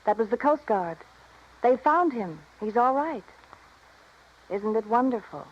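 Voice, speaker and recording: Coy radio femme fatale, dull recording. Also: female voice, fairly high pitch, animated, measured pace, background noise. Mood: agitated. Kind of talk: 1950s radio drama acting.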